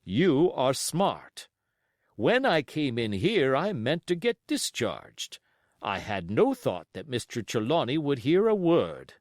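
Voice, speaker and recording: A stern sea captain, acted out by a male storybook reader, blunt and dutiful. His pitch swings widely as he drives each formal, curt phrase home, in a very dry recording. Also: huge pitch swings, takes it slowly, no echo. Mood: frank, unbending.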